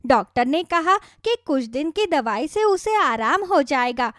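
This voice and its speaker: A woman's voice: high-pitched, very animated, unhurried, a bit bright, no room sound, studio quality, heavily acted. A woman voicing a humble, pleading housemaid and worried mother in a children's moral story. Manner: deferential, pleading.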